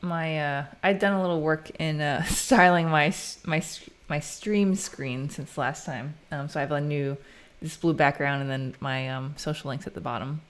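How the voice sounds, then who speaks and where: American woman streaming some coding, lowish voice, chatty and informal, kinda thinking out loud. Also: steady tone, moderate pace, few pauses. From United States. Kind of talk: casual live stream chat while coding.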